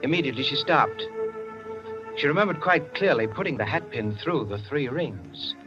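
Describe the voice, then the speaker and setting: A man narrating a scripted 1940s radio drama in a measured, literary, dramatic manner. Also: medium pitch, animated, natural voice. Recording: muffled 1940s radio recording with music.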